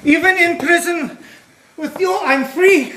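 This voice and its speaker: A man's voice: extremely high pitch, a little slow, noisy background. A man playing an imprisoned German pastor, tender, devout and weary, in an earnest stage play style. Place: theater stage. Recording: echoey stage recording.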